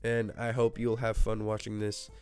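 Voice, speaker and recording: A man's voice: fairly low pitch, completely flat delivery, moderate pace, a little mellow, clear, resonant tone, soft background music. A man with a stuffy nose from a cold, chatting casually on his gaming channel in a rambling, self-mocking way with jokey asides. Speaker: gaming video host. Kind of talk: casual solo speculation to camera. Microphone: desk microphone.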